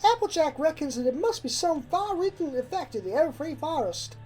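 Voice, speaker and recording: A woman's voice: high-pitched, big pitch swings, a little fast, darkish tone, pitched above the performer's own voice. A woman voicing a folksy, stubborn and uneasy young pony filly in a story reading. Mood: explaining. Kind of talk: character voice in fan fiction reading.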